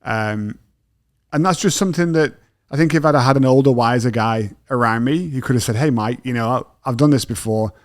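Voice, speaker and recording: A man's voice: medium pitch, a little fast, no room sound, clean studio sound. A man with a British accent hosting a podcast interview, asking thoughtful questions in a self-deprecating, conversational way. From Britain. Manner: thoughtful, self-deprecating, frequent agreeing "yeah". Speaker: podcast host.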